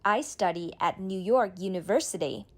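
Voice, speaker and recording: A woman reading a beginner's speaking drill, saying each line slowly and clearly, then repeating it. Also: medium pitch. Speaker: model speaker in a language drill. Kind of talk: slow scripted drill reading.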